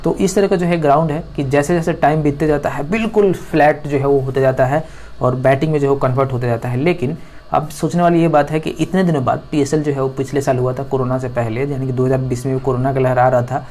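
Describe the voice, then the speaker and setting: Man, fast rambling analyst delivery, middle pitch, faint background hiss. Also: fairly even intonation, darkish tone. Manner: rambling, many fillers and restarts. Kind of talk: screen-recorded commentary talk.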